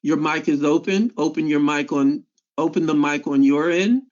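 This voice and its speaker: A man moderating an online class, calmly calling on raised hands again and again. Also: on the high side. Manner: repetitive, measured prompting. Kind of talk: calling on participants. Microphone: video call. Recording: dull lo-fi call audio.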